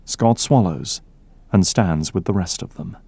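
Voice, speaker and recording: A man's voice: sits low, a little slow, creaky, dark tone, slightly rough, dry recording. A man delivering dramatic scripted narration as the narrator of an audio drama.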